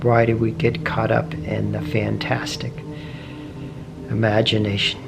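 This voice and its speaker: A man leading a guided meditation in a gentle, measured way, pausing often between short phrases. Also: lowish voice, completely flat delivery, creaky. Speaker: meditation teacher. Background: soft meditation music.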